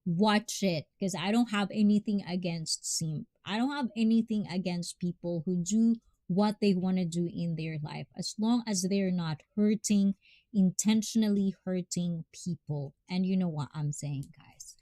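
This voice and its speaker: A woman's voice: on the low side, measured pace, mellow, no echo. A woman with a Filipino accent hosting a casual livestream, chatting with viewers, laughing often and giving heartfelt advice. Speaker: livestream host.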